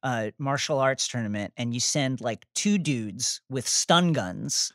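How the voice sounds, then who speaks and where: A man's voice: medium pitch, animated, measured pace, fairly bright tone, no room sound. A man in his thirties bantering casually as a podcast co-host, close to a studio mic. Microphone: broadcast mic on a boom arm, close.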